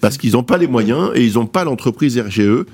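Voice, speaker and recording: A man's voice: mid-range voice, lively intonation, brisk, darkish tone, breathy edge. A middle-aged man speaking emphatically and rhetorically in an opinionated panel discussion, addressing his listeners directly. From born outside France, moved there at eighteen. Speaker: guest commentator on housing policy.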